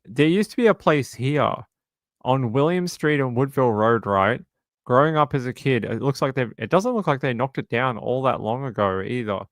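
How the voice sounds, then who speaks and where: Australian man, about forty, hosting a casual stream, loose storyteller who restarts sentences, mid-range pitch, dead-dry room. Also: lively intonation, normal speed, dry recording. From Sydney, Australia. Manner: rambling, self-correcting storyteller. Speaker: true crime streamer. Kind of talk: casual livestream chat.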